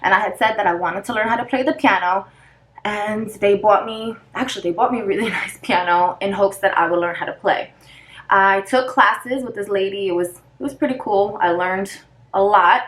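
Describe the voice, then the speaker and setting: A woman's voice: mid-range voice, moderate pace, a bit bright. Chatty woman in an echoey room. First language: Spanish. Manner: chatty, rambling, loses her place and restarts; talks while doing her makeup. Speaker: vlogger. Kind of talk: casual get-ready-with-me chat.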